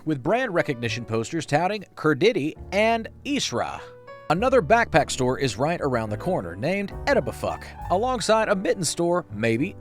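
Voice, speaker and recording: A man narrates an online video in a fairly high, very animated voice. His delivery is scripted but playful, full of wry and self-deprecating asides. Soft music plays underneath. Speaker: YouTube video essay narrator.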